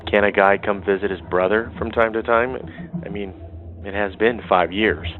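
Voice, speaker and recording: An actor playing a brash, defiant younger brother in an audio soap opera, cocky and needling. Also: male voice, on the low side, steady tone, normal speed, a little hiss or hum, close to an everyday voice. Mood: cocky, smooth. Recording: dull, phone-like narrow sound.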